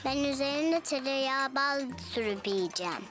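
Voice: high-pitched, animated, normal speed, a bit bright. A performer voicing a cheerful, affectionate little girl in a children's cartoon, childlike and sweet in manner. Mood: cheerful, excited.

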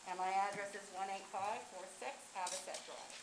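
A woman making a public comment at a board meeting, measured and repeating phrases for emphasis. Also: medium pitch, creaky. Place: large indoor board meeting chamber. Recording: echoey, noisy meeting room.

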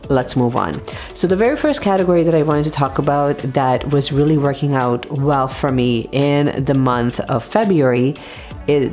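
A woman's voice: low-pitched, darkish tone. A woman hosting a stationery channel in a casual, chatty showcase, her voice still recovering from being lost. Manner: chatty, conversational. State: voice recovering after losing it. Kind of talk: casual product showcase to camera.

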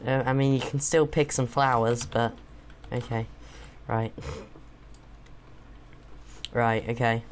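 A young man with a slightly croaky sore throat, chatting and teasing his father while they play a game together, often pausing with ums. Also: medium pitch, fairly even intonation, a little hiss or hum. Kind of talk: casual gaming chat.

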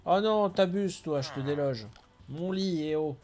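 A man's voice: fairly high pitch, measured pace, darkish tone, faint music behind. A middle-aged man chatting casually as he streams a building game, thinking aloud and chuckling often. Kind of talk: casual gameplay commentary.

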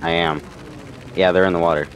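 Really low voiced guy on game voice chat, loud gunfire and engine noise behind. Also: flat delivery, brisk, vocal fry. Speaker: gamer in team voice chat. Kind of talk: casual gaming chat. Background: game gunfire and vehicles.

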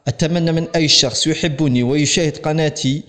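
A man's voice: fairly high pitch, fast talker, a bit bright. A young man with an Algerian accent, a native speaker of Algerian Arabic, addressing viewers emphatically as a gaming YouTuber in formal Arabic. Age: young adult. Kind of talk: direct-to-camera vlog in formal Arabic. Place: small room at home set up as a studio.

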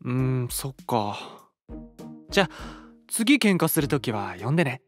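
A man's voice: mid-range voice, very animated, normal speed, soft background music, lightly acted. Man as an eager, lilting schoolboy. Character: eager, innocent-sounding male student who loves fighting. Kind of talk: audio drama acting.

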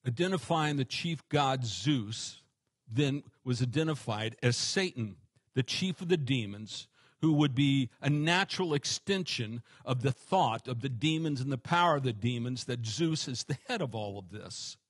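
A man in his forties, a pastor giving an animated expository sermon on a headset mic. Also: mid-range voice, moderate pace, husky, dry recording, clean studio sound. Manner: animated, gestures a lot, varies loudness for emphasis.

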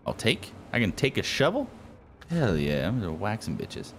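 A young man streaming a game with a friend, joking loudly and swearing as he plays. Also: fairly low pitch, huge pitch swings, moderate pace, slight background noise. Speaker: gaming streamer. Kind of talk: casual co-op gameplay commentary.

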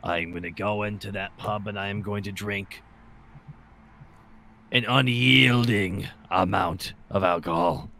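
A man plays a tabletop game in casual, jokey talk. His voice is bright and forceful with a gravelly creak. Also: fairly low pitch, fairly even intonation, a little slow, a little hiss or hum.

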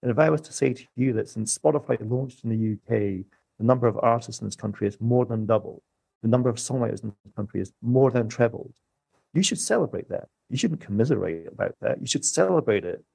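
A man, a music economist, talking through policy points in a measured, emphatic way on a podcast. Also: mid-range voice, vocal fry, dark tone, husky, no echo, low-quality recording. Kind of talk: podcast discussion.

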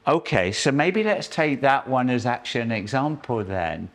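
A man's voice: medium pitch, lively intonation, normal speed. Older man auditor asking probing questions. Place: factory office, indoors.

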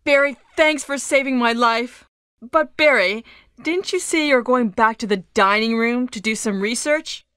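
A woman playing an earnest young police operative in a horror video game, with stilted, deliberate delivery. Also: on the high side, a bit bright, clean tone, dry recording, clean studio sound. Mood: relieved.

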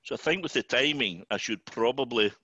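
A man's voice: mid-range voice, a little fast, bright, forceful tone, husky, no room sound, dull audio. An older Scottish man with a Glasgow accent, speaking informally to students as a guest executive, often repeating words as he starts sentences. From Scotland.

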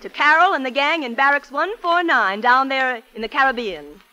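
A woman's voice: sits high, expressive, normal speed, sharp tone, low-quality recording. A woman hosting a 1940s radio show for servicemen, announcing and reading out dedications. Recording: 1940s wartime radio broadcast.

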